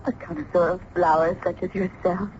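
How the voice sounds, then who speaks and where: An actress playing an innocent, sheltered young maiden in an old-time radio drama, with earnest, formal, old-fashioned phrasing. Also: female voice, on the low side, steady tone, a little fast, lightly acted. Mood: gentle, welcoming. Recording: muffled, noisy vintage radio recording.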